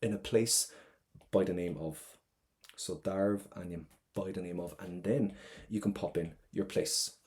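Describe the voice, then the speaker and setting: A man's voice: low voice, unhurried. Upbeat Irish man teaching exam tips. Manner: energetic, encouraging, with frequent "right?" checks. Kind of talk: exam prep masterclass lesson.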